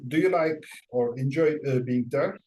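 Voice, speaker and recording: A man hosting an online interview, hesitant with frequent pauses and fillers. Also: mid-range voice, darkish tone, poor audio. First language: Turkish. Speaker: host.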